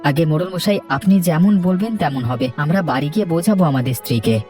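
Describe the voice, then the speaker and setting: A man's voice: a little high, lively intonation, fast, a little mellow, faint music behind, lightly acted. A man playing a harried village farmer in a cartoon, speaking in a respectful, earnest manner. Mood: earnest, obedient. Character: deferential village farmer, plain-spoken and harried. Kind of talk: cartoon dialogue.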